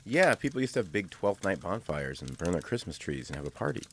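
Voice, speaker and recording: A man's voice: low voice, sing-song, brisk, slight background noise. A man playing a reassuring father who chats about old customs in an audio drama. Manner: reassuring, chatty.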